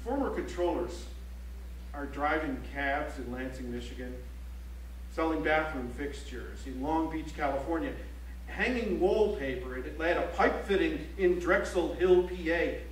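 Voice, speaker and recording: A man playing a grave, pointed political chronicler, reciting formally on stage in a measured, emphatic way. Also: fairly high pitch, close to an everyday voice. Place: library auditorium stage. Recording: echoey auditorium, distant mic, faint hum.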